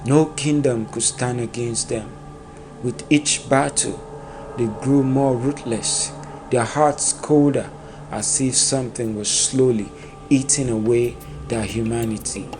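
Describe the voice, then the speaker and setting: A man narrating a dark epic folktale in a solemn, dramatic storytelling manner. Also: mid-range voice, steady tone, takes it slowly, over music, low-quality recording.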